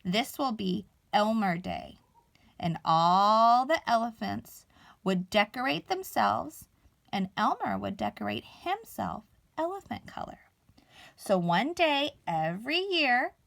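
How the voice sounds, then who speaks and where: A woman reading a children's story aloud expressively, with dramatic pauses and emphasis. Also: mid-range voice, big pitch swings, vocal fry, a bit bright.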